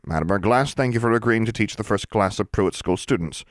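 A composed young witch, read by a man in his own low voice, cool and firm with a dry, sarcastic edge. The storybook narration sounds close and dry. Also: a little fast, no echo, natural voice.

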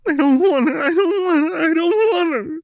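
A man voicing a fragile, volatile young woman in an audiobook, halting and shaky, repeating herself in distress. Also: very high voice, no echo, voice pushed up. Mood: sobbing, desperate.

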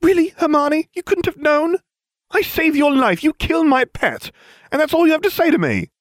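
A man's voice: very high voice, very animated, normal speed, no room sound, studio quality, voice pushed up. A man doing a whiny theatrical teen wizard. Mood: whining. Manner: whiny and theatrical, then smugly explaining. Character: dramatic, self-absorbed teenage boy wizard.

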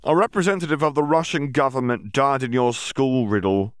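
A man's voice: medium pitch, fairly quick, smooth, no echo, studio quality, voice pushed up, lightly acted. A man voicing a blunt, impatient Auror.

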